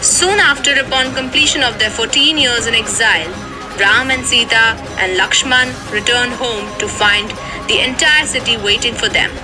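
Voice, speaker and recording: A woman's voice: on the high side, moderate pace, over music, poor audio. A woman narrating a scripted story for children as a storyteller, in voice-over.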